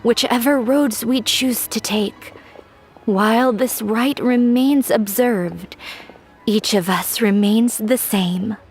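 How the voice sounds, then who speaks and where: A young lantern artisan speaks gently and politely in a slightly raised, soft voice. Quiet game music sits faintly underneath. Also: female voice, a little high, slow pace. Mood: gentle. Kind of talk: video game voice acting.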